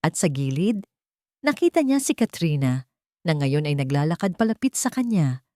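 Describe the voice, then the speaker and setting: A woman reading a scripted audio drama in an emotive, deliberate storytelling manner. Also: low-pitched, sing-song, warm tone, dry recording, clean studio sound.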